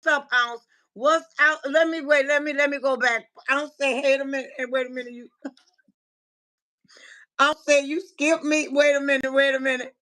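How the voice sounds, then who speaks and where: A woman's voice: high-pitched, steady tone, moderate pace, pushed and bright. A woman from Minnesota hosting a casual livestream. She chats and greets viewers from the chat, with frequent restarts and laughter. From Minnesota, USA. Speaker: livestream host and life coach.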